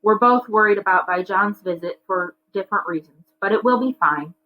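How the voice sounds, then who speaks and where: A woman's voice: medium pitch, a little fast, lo-fi sound, natural voice. A woman voicing a worried but fair father in a children's storybook, reasoning firmly. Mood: gentle. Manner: firm, reasoning.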